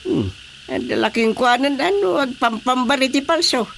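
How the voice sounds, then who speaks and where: Village chairman, notably high voice, disapproving, radio comedy, light noise. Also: animated, normal speed, gravelly, fairly bright tone, exaggerated voice. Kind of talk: comedy radio drama acting.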